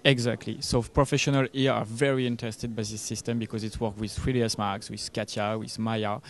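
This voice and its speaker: Man, halting English. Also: on the low side, little pitch movement. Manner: halting non-native English. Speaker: company representative giving a product demo. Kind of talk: trade show interview. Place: trade show booth indoors. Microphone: handheld interview microphone.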